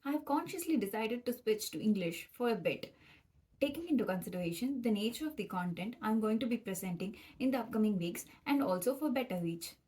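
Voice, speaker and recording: A woman's voice: fairly high pitch, lively intonation, a little fast, a little mellow, breathy edge. Young Tamil-accented woman explaining. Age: young adult. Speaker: PhD student presenting educational videos. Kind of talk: explanatory educational video presentation.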